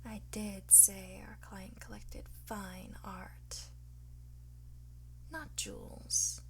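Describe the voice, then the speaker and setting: A woman playing a flirtatious, boastful master thief in an ASMR roleplay, soft and often whispering, theatrical and smug. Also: medium pitch, steady tone, drawn-out words. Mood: sly, gloating. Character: teasing, boastful female master thief under interrogation.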